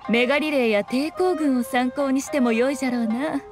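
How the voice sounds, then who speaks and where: An actress playing a sly, self-assured fox shrine maiden in a Japanese video game, speaking in a lofty, archaic, composed manner. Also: female voice, high-pitched, over music, natural voice. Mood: sly, scheming. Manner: archaic, lofty, unhurried speech with teasing composure.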